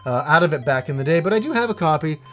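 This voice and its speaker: A man in his thirties chatting casually as co-host, telling lively stories and swearing freely, his voice a bit worn. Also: on the high side, rapid-fire, soft background music. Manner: animated storyteller, swears freely. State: losing his voice. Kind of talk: casual chat about retro games. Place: room lined with game shelves, indoors.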